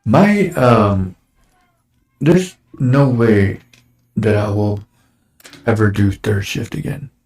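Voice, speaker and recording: A man in his forties, hosting a casual chat show, his voice a little raspy from dust, rambling along with lots of fillers. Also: fairly low pitch, takes it slowly, long pauses, a little mellow. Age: 40s. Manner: rambling, with many fillers and restarts. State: slightly raspy from breathing dust. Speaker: show host. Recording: roomy echo.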